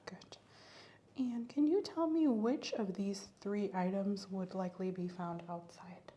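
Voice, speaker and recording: A woman doing a soft, slow ASMR medical exam roleplay, giving gentle clinical instructions, often in a whisper, close to the microphone. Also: mid-range voice. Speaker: ASMR host playing a clinical examiner.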